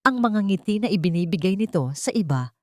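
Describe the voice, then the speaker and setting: Woman, Filipino accent, slightly low voice, dramatic story narration, dry close recording. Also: lively intonation, brisk, darkish tone, no room sound.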